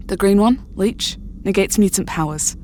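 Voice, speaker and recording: A woman acting a proud, sharp-tongued young telepath in an audio drama, speaking in a curt, assertive way. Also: mid-range voice, normal speed, slight background noise, lightly acted. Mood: focused.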